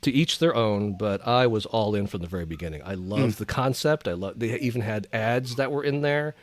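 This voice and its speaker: A man's voice: lowish voice, a little fast, dry recording. A man speaking as a podcast panelist in casual, enthusiastic pop culture chat.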